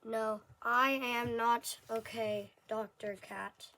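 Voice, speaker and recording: A woman's voice: a little high, steady tone, slow, sharp tone, a little hiss or hum, rough recording. A woman reading a children's picture book aloud word by word, stumbling and correcting herself as she goes. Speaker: story reader.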